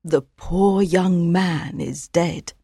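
A man's voice: sits high, sing-song, slow pace, breathy edge, dry recording, clean studio sound, voice pushed up, full character voice. A man voicing an old wise mother mare. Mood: sad. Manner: soft, earnest and admonishing.

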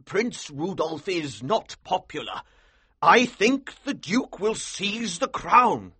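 A commanding army colonel from an adventure storybook. The voice is husky and pitched high, swinging widely as he slowly hammers out each phrase with forceful urgency. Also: sing-song, no room sound, full character voice. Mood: grave, urgent. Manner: slow, emphatic, commanding. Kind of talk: audiobook character acting.

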